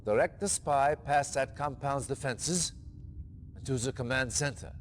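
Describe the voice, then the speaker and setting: An actor playing a solemn senior male officer delivering a mission briefing in a game cutscene, with dramatic pauses. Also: medium pitch, slight background noise, close to an everyday voice. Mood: grave. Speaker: military commander. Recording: long echoing reverb.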